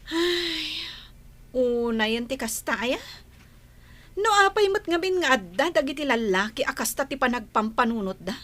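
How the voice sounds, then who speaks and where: A woman acts a gossipy, disapproving village confidante for a radio comedy drama. Her fairly high voice swoops widely and takes its time over each phrase, with a sympathetic streak. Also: lively intonation, a little slow, a bit bright, heavily acted. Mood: teasing, sympathetic.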